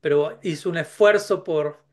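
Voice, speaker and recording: A man's voice: a little high, normal speed. Thoughtful man giving a spiritual talk. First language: Spanish. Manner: thoughtful, many restarts and tag questions. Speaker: spiritual speaker.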